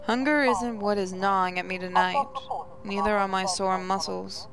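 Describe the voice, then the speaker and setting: A woman's voice: medium pitch, steady tone, normal speed, a loud crowd. A woman gaming host reads a visual novel aloud as dramatic, emotive first-person narration.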